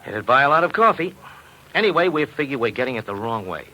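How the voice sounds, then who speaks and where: Bright, slangy, worried radio betting man. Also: medium pitch, very animated, quick pace. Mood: worried, frustrated. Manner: slangy, hard-boiled talk. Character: worried, slangy big male betting agent. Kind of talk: 1950s radio drama acting. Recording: noisy old radio recording.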